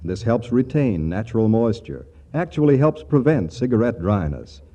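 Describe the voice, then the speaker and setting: A man reading a cigarette advert in 1940s radio style, measured and emphatic. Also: mid-range voice, big pitch swings, mellow. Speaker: commercial announcer. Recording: muffled, band-limited vintage radio recording.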